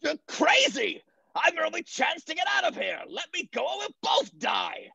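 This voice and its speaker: A performer voicing a manic, cackling male clown supervillain with a raspy, creaky voice in a table read of an animated film script. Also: extremely high pitch, moderate pace, no echo, raised voice, exaggerated voice. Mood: frantic, angry.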